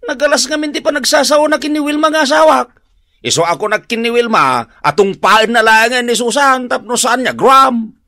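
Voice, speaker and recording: A man's voice: very high voice, brisk, pushed and bright, no room sound, clean studio sound, full character voice. An actor playing a stubborn, defensive married village man in an Ilocano radio drama, protesting and blustery.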